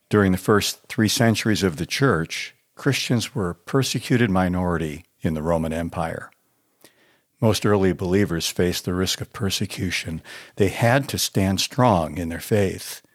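A male pastor with a low-pitched American voice reads a prepared devotional. His delivery is earnest and measured, as if written out in advance and read with care. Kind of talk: scripted devotional reading.

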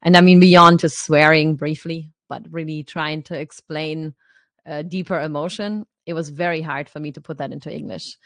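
Female co-host, German first language, low voice, dry close sound, reflective casual talker. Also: steady tone, moderate pace, no room sound. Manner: chatty and reflective, laughs lightly. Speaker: podcast co-host. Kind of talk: casual discussion.

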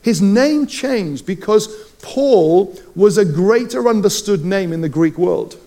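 A man's voice: high voice, darkish tone. A middle-aged Welsh man preaching a sermon, telling personal stories with playful asides. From Wales. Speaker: pastor.

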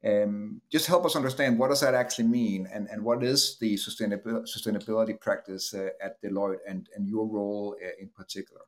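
A man's voice: on the low side, fairly even intonation, a little fast, few pauses. A man hosting a podcast interview, welcoming a guest and asking questions with friendly, hesitant restarts. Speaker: podcast host.

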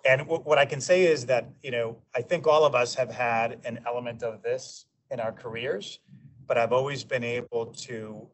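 A man in his forties from New York, a guest in a casual interview, talking in a measured way and often restarting his sentences. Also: mid-range voice, steady tone. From New York, USA. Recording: distant mic with faint noise.

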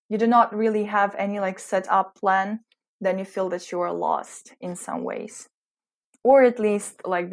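A young woman in her twenties answers an interviewer's questions in a reflective, unhurried way. Her accent blends British and American sounds. She often pauses and hunts for the right word, with her voice sitting at an ordinary middle pitch. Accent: mixed British and American. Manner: hesitant, searching for words. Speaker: interview guest, recent graduate.

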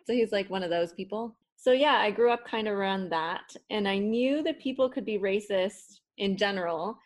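A young woman with a Toronto accent, Spanish her first language, chatting candidly and mimicking the people in her stories. Her pitch sits in the middle and she tells it all freely. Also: normal speed. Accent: Toronto English. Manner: candid storytelling with swearing, acting out quoted people. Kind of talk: casual conversation.

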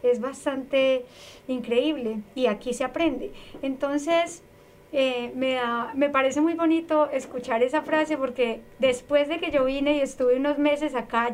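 A woman with a Colombian paisa accent from Medellín, telling her story warmly as an interview guest, with lots of fillers. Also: high-pitched, slight background noise. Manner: warm and effusive, frequent fillers.

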